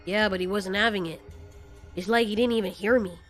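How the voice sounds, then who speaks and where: A woman's voice: mid-range voice, steady tone, a little fast, soft background music, close to an everyday voice. A woman playing a laid-back, sarcastic male flying kaiju with a casual, wry way of talking, in an audio story. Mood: unbothered.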